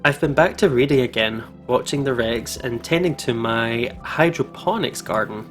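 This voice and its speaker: Male gaming co-host, medium pitch. Casual chat and read-aloud, informal and reactive, music underneath. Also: moderate pace, a bit bright. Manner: informal, reacts with exclamations and banter. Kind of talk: casual let's-play chat and reading aloud. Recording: music playing behind the voice. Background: game music.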